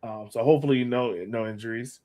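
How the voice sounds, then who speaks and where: Man, medium pitch, sports podcast host, casual fan talk, playful banter. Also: steady tone, fast, a little mellow. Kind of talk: casual fan commentary and chat.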